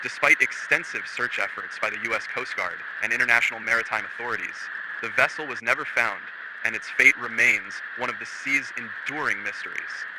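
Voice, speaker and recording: A man narrating a scripted documentary-style story in a solemn, measured way. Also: medium pitch. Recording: loud background noise and ambience.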